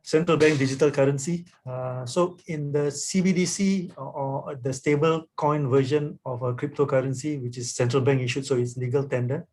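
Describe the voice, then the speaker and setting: A man's voice: medium pitch, steady tone, moderate pace, few pauses, a little mellow. Man explaining at length, tagging "right?". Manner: explanatory, often adds "right?". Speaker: guest speaker, author. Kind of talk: webinar talk answering questions.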